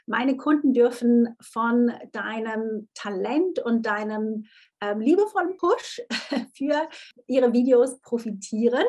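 A woman in her thirties hosting a podcast interview, lively and enthusiastic, often slipping in English phrases. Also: a little high. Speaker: podcast host.

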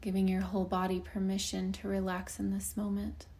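A woman guides a meditation in a very mellow, warm voice. Her pitch barely moves, and she speaks slowly and soothingly with long pauses. Her phrases soften into breath as they end. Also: completely flat delivery. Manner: slow, soothing, unhurried delivery with long pauses.

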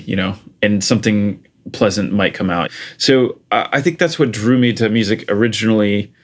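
A man in his thirties from Portugal with an American accent, a guest chatting casually about his start in music, with many "like" and "you know" fillers. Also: on the low side. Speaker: interview guest, music producer. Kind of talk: casual conversational storytelling.

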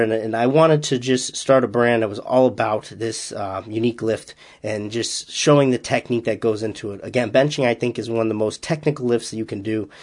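A man with a light Massachusetts accent talks alone into a podcast mic, upbeat and easygoing. His voice sits at an ordinary middle pitch, and he wanders from point to point with plenty of "uh" and "you know" along the way. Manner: upbeat, rambling, many "uh" fillers. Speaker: strength coach and podcast host. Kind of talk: casual solo podcast talk.

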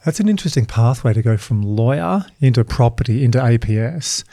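An upbeat man hosts a coaching podcast, talking in a chatty flow with quick asides. His voice is mellow and warm, and the recording is close and dry. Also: mid-range voice, animated, no room sound. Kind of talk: casual coaching conversation.

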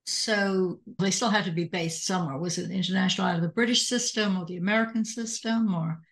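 A woman's voice: medium pitch, steady tone, a little fast. A middle-aged woman hosting an interview podcast, asking friendly, curious follow-up questions. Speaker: podcast host.

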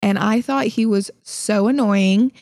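Young woman, light southern accent, podcast host, gravelly, mellow tone. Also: medium pitch, a little slow, no room sound, studio quality. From Illinois. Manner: upbeat, laughs often. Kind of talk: casual podcast chat.

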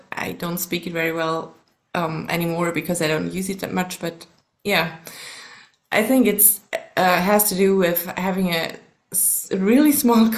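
A woman from Switzerland whose first language is German, speaking English with a Swiss German accent learned partly in the US. She is a guest in a casual podcast interview and talks in a measured way, pausing to search for words. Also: lowish voice. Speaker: guest, subconscious coach and therapist.

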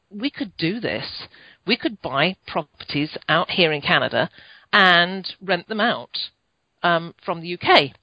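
Older British woman, low, muffled. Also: no echo. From United Kingdom. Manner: chatty, frequent restarts. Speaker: podcast guest. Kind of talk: conversational interview storytelling. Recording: muffled lo-fi dry sound.

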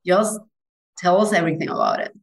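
A woman's voice: lowish voice, wildly swooping pitch, brisk, creaky, low-quality recording. A woman from Cuba hosts a podcast interview. She talks with effusive enthusiasm, praising her guest and firing off eager questions. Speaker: podcast host.

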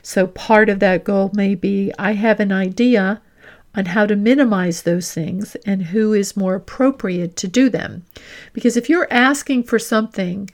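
Woman, medium pitch, executive coach, podcast host, scripted monologue, deliberate, instructive, direct address.

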